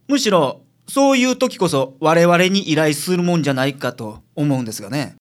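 A man's voice: a little high, expressive, brisk, lightly acted. A man playing a shrewd, blunt, laid-back male private detective with dry, probing delivery in a radio drama.

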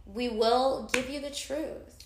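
A young woman co-hosting a casual chat in a playful, teasing, unhurried way. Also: high-pitched, fairly even intonation, echoey room, background noise. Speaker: podcast co-host.

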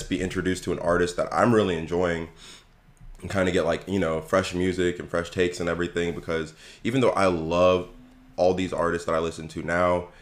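A man co-hosting a casual podcast, relaxed and reflective, talking things through at length. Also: sits low. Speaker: podcast co-host. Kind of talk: casual chat.

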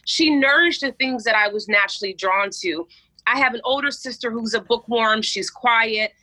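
A woman in her forties, a coach speaking as a guest in an interview, energetic and emphatic as she tells stories. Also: on the high side, normal speed, poor audio. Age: 40s. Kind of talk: interview conversation.

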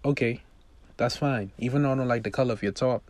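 A man voicing a fussy but caring mother with a brisk manner in a script reading. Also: mid-range voice, darkish tone, dry recording, lightly acted. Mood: fussing.

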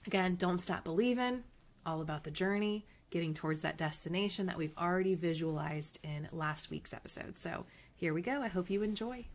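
A woman's voice: on the low side, fairly quick. A woman hosting her own podcast, talking in a casual, upbeat and encouraging way with a slightly nasal voice. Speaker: podcast host. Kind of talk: casual motivational monologue.

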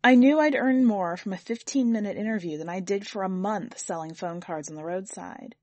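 A woman's voice: mid-range voice, moderate pace, a little mellow, no echo. A woman narrating a first-person literary short story from a script. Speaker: podcast editor and story reader.

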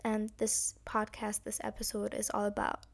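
Young woman podcast host, bit hoarse from being sick, talks right to her listeners, emphatic, casual. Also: medium pitch, monotone, moderate pace. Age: young adult. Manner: earnest, emphatic, addresses listeners directly. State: slightly hoarse while recovering from illness. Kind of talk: casual solo podcast advice talk.

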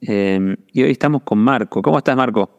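A man hosting a casual podcast interview, speaking in a somewhat halting, hesitant way. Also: fairly low pitch, highs cut off.

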